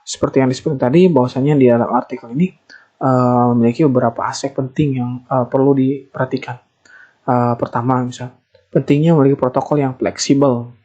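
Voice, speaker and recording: A young adult man, giving a semi-formal slide presentation as a student, with hesitant, halting phrasing and frequent pauses. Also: medium pitch, darkish tone, dull audio. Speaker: master's student presenting an assignment.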